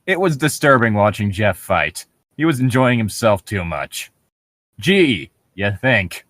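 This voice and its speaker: A man's voice: lowish voice, sing-song, measured pace, fairly bright tone, no echo. A man reading aloud casually as a comedy co-host, breaking off for sarcastic, jokey banter.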